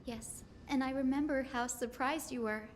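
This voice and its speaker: High, gentle young heroine voiced by a woman. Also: fairly even intonation, normal speed, a little hiss or hum, natural voice. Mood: nostalgic. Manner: earnest, tender, poetic. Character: gentle, hopeful young heroine of a sky people. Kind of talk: dramatic video game voice acting. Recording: music under the voice.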